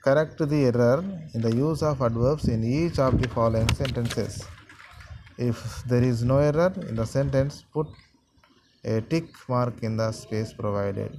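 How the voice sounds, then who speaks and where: A man teaching a grammar lesson to students, repeating sentences and pausing often with fillers. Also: medium pitch, background noise. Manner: slow, repetitive, halting with many fillers.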